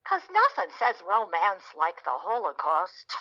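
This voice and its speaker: An actress playing an older, brassy best friend in an audio comedy, sardonic, blunt and teasing. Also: female voice, medium pitch, wildly swooping pitch, unhurried, muffled recording. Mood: mocking. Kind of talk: audio theatre comedy acting.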